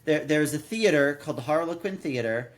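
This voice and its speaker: Halting, stammering man in casual chat. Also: a little high, expressive. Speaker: podcast co-host.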